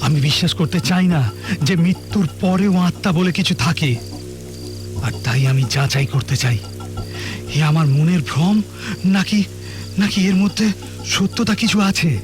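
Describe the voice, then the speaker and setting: A man's voice: sits high, moderate pace, pauses often, natural voice. An actor playing an older, imperious hunter haunted by his past, speaking in an emphatic, theatrical audio drama style. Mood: brooding, challenging. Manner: theatrical, emphatic, repeats phrases for effect. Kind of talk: melodramatic Bengali audio drama acting. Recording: dramatic music behind the voice.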